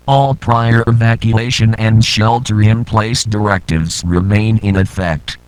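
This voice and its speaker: A man voicing a stern, impersonal emergency alert announcer, reading formal scripted instructions in a measured, deadpan way. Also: lowish voice, clear, resonant tone, no echo, natural voice, rough recording.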